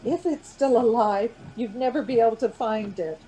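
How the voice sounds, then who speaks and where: A woman's voice: a little high, normal speed, dark tone, a little hiss or hum, lightly acted, rough recording. A woman playing a frightened, pleading wife in a radio play about travellers stranded on a snowy pass. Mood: anxious, pleading. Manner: pleading, urgent.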